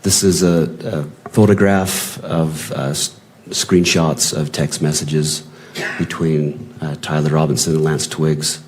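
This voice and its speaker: An American man giving factual courtroom testimony as a law enforcement witness, halting when answers run longer. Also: a bass voice, flat delivery. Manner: halting in long answers, brief factual replies. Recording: noisy courtroom audio.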